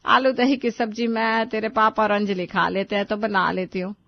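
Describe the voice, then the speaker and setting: A woman's voice: medium pitch, flat delivery, moderate pace, vocal fry, dry recording, highs cut off, lightly acted. A woman voicing a weary, placating middle-aged mother in a cartoon family story, coaxing and plaintive.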